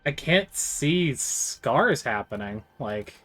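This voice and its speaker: A man with a booming voice giving casual live commentary as he plays a video game, thinking aloud as he goes. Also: big pitch swings, slow, sharp tone. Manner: chatty, thinks aloud while playing. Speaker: streamer.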